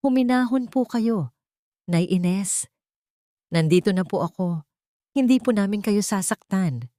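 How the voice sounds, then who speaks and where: A woman voicing a gentle, respectful young household helper who speaks in a soothing, pleading way. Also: mid-range voice, expressive, unhurried, dry recording, clean studio sound, lightly acted.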